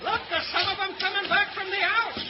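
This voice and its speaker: A man's voice: extremely high pitch, fairly even intonation, a little fast. An actor playing a gloomy Australian country man fighting a bushfire in a 1930s radio serial. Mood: uneasy. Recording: muffled, very noisy 1930s radio recording.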